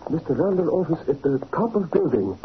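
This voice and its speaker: High-voiced, deferential valet sidekick. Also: male voice, very animated, brisk, background noise. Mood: anxious, excited. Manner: deferential, broken English. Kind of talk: 1940s radio acting. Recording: thin, phone-like old radio recording.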